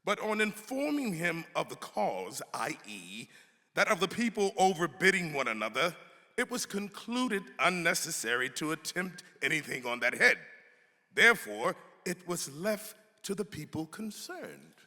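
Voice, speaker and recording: A man with a somewhat high, forceful voice performs a dramatic reading of old formal prose. His phrasing is oratorical and emphatic, swinging widely in pitch. It rings with the long reverb of a hall. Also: big pitch swings, measured pace. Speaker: actor giving a dramatic reading. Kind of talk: dramatic reading of an 18th-century pamphlet.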